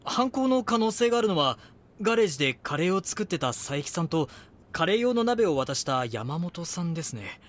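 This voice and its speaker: An actor playing a young magazine reporter in an audio drama, polite, earnest and inquisitive. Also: male voice, high voice, big pitch swings, brisk. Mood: reporting. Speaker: magazine reporter and first-person narrator. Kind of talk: Japanese audio drama dialogue and narration.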